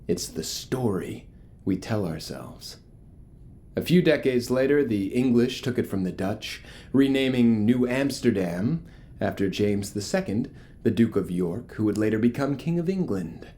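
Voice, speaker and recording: An actor playing a charismatic, ominous cult leader in a horror audio drama, preaching grandiosely with rhetorical pauses. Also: male voice, fairly low pitch, lively intonation, warm tone, slight background noise. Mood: measured, ominous. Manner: theatrical, grandiose, pausing for rhetorical effect.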